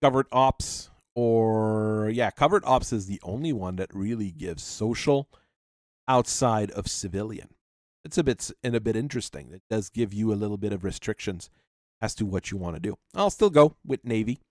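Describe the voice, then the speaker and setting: A man hosting a channel, working through tabletop character creation while thinking aloud and reading rules out, with halting pauses. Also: lowish voice, expressive, darkish tone, no room sound, studio quality. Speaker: channel host.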